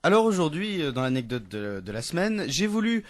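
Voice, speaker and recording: A man's voice: fairly high pitch, sing-song, a little fast, slight background noise. A young man, a student radio presenter, telling a history anecdote in a chatty way, full of hesitations and restarts, from a radio studio. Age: young adult. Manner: chatty, many hesitations and restarts, laughs at own jokes. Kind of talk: casual radio storytelling.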